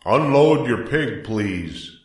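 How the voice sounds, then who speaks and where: Storybook loudspeaker announcer, played by a man: pompous, formal, booming, full of self-importance. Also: lively intonation, slow pace, roomy sound. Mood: commanding. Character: pompous fairground loudspeaker announcer making grand proclamations.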